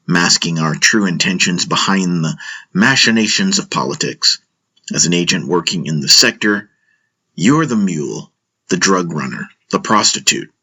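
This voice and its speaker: Audio drama role: seasoned spy lecturer played by a man, low and husky, frank, wry, cynical. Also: pushed and bright, exaggerated voice. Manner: wry, measured, musing.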